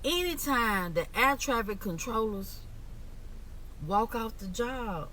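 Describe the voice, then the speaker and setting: A woman's voice: a little high, animated, slow, a bit bright. An American woman giving casual commentary in a sassy, emphatic way, repeating her points, on a slightly noisy recording. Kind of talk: casual social media commentary.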